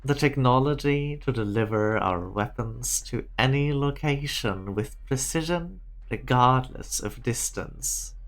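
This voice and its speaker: Casting: man playing cool corporate schemer for a game fan dub, slow, measured, formal delivery. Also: medium pitch, soft background music, close to an everyday voice. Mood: businesslike, cool. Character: cool, calculating male corporate director plotting weapons technology. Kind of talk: amateur fan dub game drama acting.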